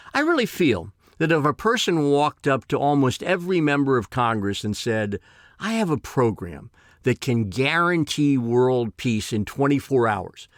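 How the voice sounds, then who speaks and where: A man in his sixties reading his own personal essay in a deliberate, emphatic way with formal phrasing. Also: on the high side, dry recording, clean studio sound. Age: 60s.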